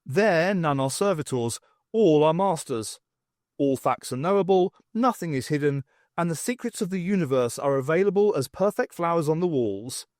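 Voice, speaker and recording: A man's voice: a little high, lively intonation, normal speed, darkish tone, no echo, studio quality, lightly acted. Man voicing a stilted, haughty tentacled alien. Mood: solemn, certain. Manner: stilted, formal declarative speech. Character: hooting tentacled alien, literal-minded, haughty, emotionless.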